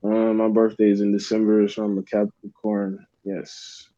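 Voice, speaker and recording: A young man from Uganda, chatting as a guest in a relaxed, rambling way with plenty of fillers. His voice sits low and sounds warm and mellow. The recording is dry and dull, with a lo-fi edge. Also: moderate pace, dry recording. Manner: laid-back, rambling with many fillers. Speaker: guest artist. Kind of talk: casual interview chat.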